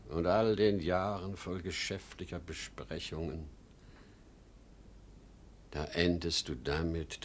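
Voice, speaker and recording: A man's voice: low-pitched, a little hiss or hum, full character voice. A man acting a desperate, proud, worn-out old travelling salesman in a 1950s radio drama, pleading and insistent, swinging between whispers and outbursts. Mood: bitter, weary.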